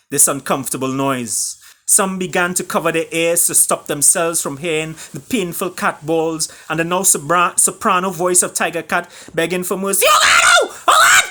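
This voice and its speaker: A man reading and retelling a fable as its author, with dramatic pauses and emphatic delivery. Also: a little high, pushed and bright. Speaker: author reading his own book.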